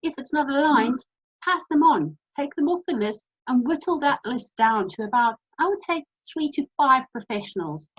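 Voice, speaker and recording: A woman from South Africa with a South African accent, giving a brisk, practical presentation over a video call. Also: sits high, lively intonation, dull audio. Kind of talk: presentation talk.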